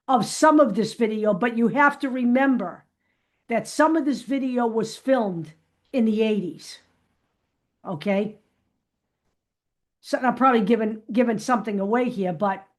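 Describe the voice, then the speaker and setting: A woman hosting a fan vlog, talking casually to viewers and making her points emphatically, often checking them with "okay?". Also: fairly high pitch.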